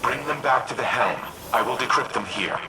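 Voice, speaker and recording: A man voicing a grave, remorseful artificial war intelligence in a video game, speaking formally and with measured deliberation. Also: mid-range voice, very noisy, natural voice. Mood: grateful, formal. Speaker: military AI ally. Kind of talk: video game cutscene monologue. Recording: loud music behind the voice.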